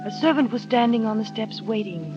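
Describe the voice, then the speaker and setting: A woman's voice: a little high, a little fast, close to an everyday voice. A woman playing a shy, hesitant young wife. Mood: narrating. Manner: hesitant, stammering. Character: shy young new wife, timid and polite. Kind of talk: 1930s radio drama narration and acting. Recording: dull 1930s radio broadcast with music.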